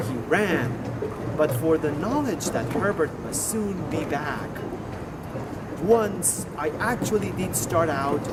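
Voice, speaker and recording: Distant male reader, higher-pitched, animated intonation, halting delivery, literary reading, noisy urban outdoor recording. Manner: halting, with stumbles and repeated words. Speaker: reader of a novel. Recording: distant mic, loud outdoor traffic noise.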